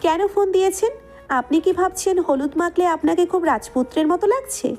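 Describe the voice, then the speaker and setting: A woman playing an angry, sharp-tongued young bride in an audio story, scolding and defiant. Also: very high-pitched, normal speed. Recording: music behind the voice.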